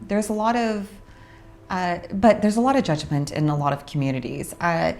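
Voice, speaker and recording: A young adult woman from Orange County, California, hosting a casual monologue about her spiritual work, unhurried and reflective with frequent pauses. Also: lowish voice, steady tone, vocal fry, darkish tone. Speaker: host, spiritual practitioner.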